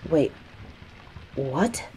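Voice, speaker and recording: A woman playing a quick-witted, sarcastic reporter in an audio drama, delivering wry quips as an unfazed captive. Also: sits low, animated, mellow, soft background music, heavily acted, rough recording. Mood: incredulous. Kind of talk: scripted audio roleplay dialogue.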